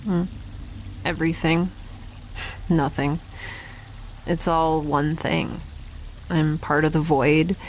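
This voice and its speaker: Woman acting weary young space scientist, female, halting, wry, anxious, slow-paced, lowish voice, audio drama. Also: little pitch movement, slight background noise, low-quality recording. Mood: dreamy, delirious.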